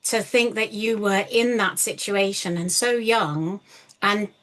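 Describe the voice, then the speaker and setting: A woman's voice: medium pitch, measured pace, a bit bright. A woman hosting a podcast interview, affirming her guest and often ending points with tag questions. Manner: affirming, many fillers and restarts, frequent tag questions. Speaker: podcast host. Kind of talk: supportive interview chat.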